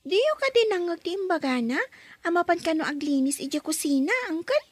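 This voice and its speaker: A woman's voice: very high voice, animated, fairly quick, no echo. A woman voicing a curious young girl cared for by a nanny, in a radio drama. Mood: chatty. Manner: inquisitive, talks up to the adults. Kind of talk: Ilocano radio drama acting.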